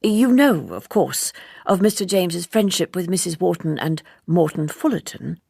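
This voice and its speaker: A woman reading an audiobook, voicing an earnest, fussy gentleman who speaks formally and insistently in roundabout sentences. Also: lowish voice, lively intonation, normal speed, vocal fry, husky, dry recording, clean studio sound, heavily acted. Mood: earnest, confiding. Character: earnest, fussy, gossiping gentleman, gravely indignant. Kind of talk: audiobook character dialogue.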